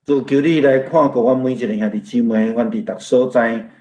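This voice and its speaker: Taiwanese pastor praying in an echoing room. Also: male voice, mid-range voice, fairly even intonation, fairly quick, mellow, slight background noise. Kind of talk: earnest spoken prayer. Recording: roomy, echoing sound.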